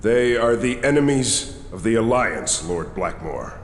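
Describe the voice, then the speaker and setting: A man voicing a young orc slave warrior in an animated fantasy game, earnest, subdued and plain-spoken. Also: lowish voice, unhurried, a little hiss or hum. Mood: obedient, subdued. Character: young adult male orc slave warrior, dutiful, reluctant. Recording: echoey reverberant stone room, music.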